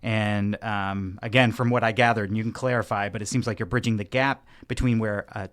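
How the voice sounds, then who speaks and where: A man's voice: fairly low pitch, fairly bright tone, no echo, studio quality. A man hosting a podcast, introducing his guest with enthusiasm and a lot of rambling. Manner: enthusiastic, rambling with fillers and restarts. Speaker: podcast host. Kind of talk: casual interview hosting.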